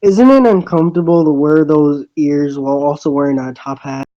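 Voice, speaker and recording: A man's voice: on the high side, fairly even intonation, normal speed, no echo, close to an everyday voice. Man acting a bewildered young student, slightly muffled. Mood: incredulous. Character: bewildered young male student narrating his own story. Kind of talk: visual novel dub, narration mixed with dialogue.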